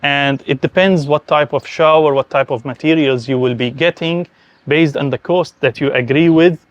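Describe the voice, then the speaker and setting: Higher-voiced Syrian-accented man giving a tour. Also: fairly even intonation, normal speed, no echo, highs cut off. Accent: Syrian Arabic. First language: Arabic. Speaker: host, homeowner giving a villa tour. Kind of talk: informal explanatory garden tour.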